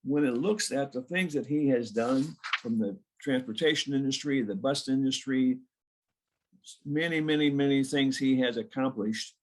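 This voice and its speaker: A man's voice: medium pitch, normal speed, background noise. A man introducing a guest speaker at a club meeting over a video call. He talks in a chatty, informal way and jokes a little.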